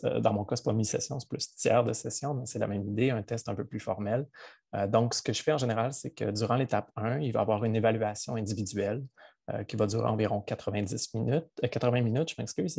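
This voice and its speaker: A Québécois man presents a teaching webinar over a video call, halting and full of fillers. His voice is low, dark and gravelly with a husky edge. The sound is dry and close. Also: no echo. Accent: Québécois French. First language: French. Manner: hesitant, many fillers.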